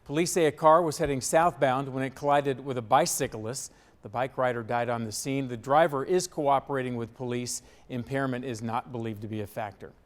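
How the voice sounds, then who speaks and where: A man's voice: mid-range voice, brisk. A man with an American accent reading scripted television news as an anchor.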